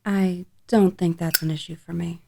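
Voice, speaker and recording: A woman's voice: fairly low pitch, fairly even intonation, moderate pace, creaky, a little mellow. A woman playing an eerie little girl in a horror audio drama. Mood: cool, knowing. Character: eerie ghostly little girl, lost, later cool and knowing.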